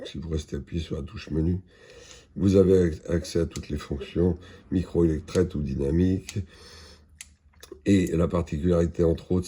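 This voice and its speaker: Male product presenter, very dark husky tone, matter-of-fact and halting, unscripted demo. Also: low-pitched.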